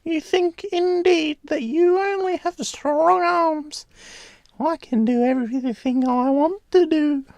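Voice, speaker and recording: A man voicing a fearless, boastful young storybook prince in a loud, brash falsetto. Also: steady tone, takes it slowly, vocal fry, no room sound, full character voice.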